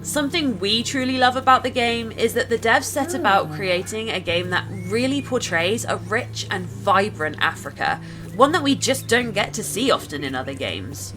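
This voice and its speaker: A woman's voice: medium pitch, lively intonation, normal speed, music in the background. A woman narrating a gameplay video from a script, informal and chatty as she addresses viewers.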